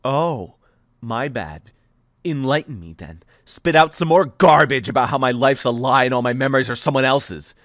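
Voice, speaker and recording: A sharp-tongued young woman with a raised voice, mocking and confrontational, as a man puts her on. She talks in a bright, lifted register, and the sound is dry and close. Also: fairly high pitch, moderate pace, no echo, pitched above the performer's own voice, natural voice. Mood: bitter sarcasm. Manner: mocking, confrontational, biting sarcasm. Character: bitter, sarcastic young woman, quick to anger.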